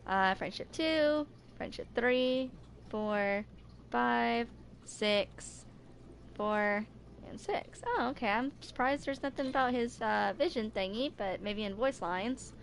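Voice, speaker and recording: A woman in her twenties with a slightly high voice reads aloud in a casual way, dropping in offhand comments. The amateur recording carries some background noise. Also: slow, vocal fry. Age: 20s. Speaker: video creator reading game character stories. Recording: noisy amateur home recording.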